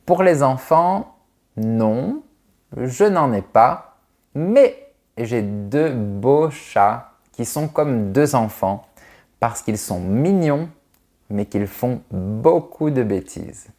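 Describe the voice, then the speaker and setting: A man in his thirties from France, a French teacher answering viewers' questions in a clear, carefully articulated, friendly way. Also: mid-range voice, animated, drawn-out words, darkish tone. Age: 30s. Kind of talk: slow, clear video Q&A for learners.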